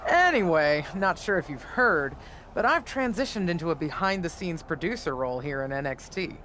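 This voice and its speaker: A man's voice: fairly high pitch, expressive, normal speed, sharp tone, slight background noise. A male actor playing an affable, politely probing backstage wrestling producer in a video game. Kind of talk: video game cutscene dialogue.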